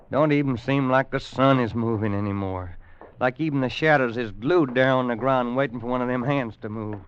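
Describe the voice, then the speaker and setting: A man acting as an older cowboy narrator in a radio western, loyal and homespun. His low voice has a folksy Western drawl and takes its time with pauses. The sound is muffled, like old-time radio. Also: slight background noise, close to an everyday voice. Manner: slow, homespun storytelling with dramatic pauses.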